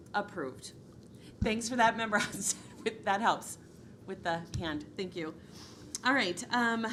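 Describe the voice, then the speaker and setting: A woman's voice: mid-range voice, fairly bright tone. A woman with a Minnesotan accent chairing a city council meeting, reading agenda items in formal procedure with frequent ums. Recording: noisy council chamber recording.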